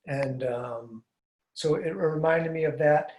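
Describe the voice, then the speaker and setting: An older man hosting a podcast, asking curious, rambling questions that often trail off, speaking into a studio mic on a boom arm while wearing headphones. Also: slow, a little mellow. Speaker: podcast host. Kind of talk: casual conversational interview. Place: room at home with blinds, indoors. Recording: roomy echoey sound.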